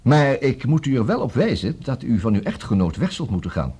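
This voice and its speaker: An actor with a Netherlands Dutch accent playing a busy, wry, smooth-talking divorce lawyer in a formal, fussy manner for a 1960s radio comedy. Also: male voice, mid-range voice, very animated, brisk, warm tone. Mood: businesslike. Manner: formal, fussy, hesitant interjections and repeated words.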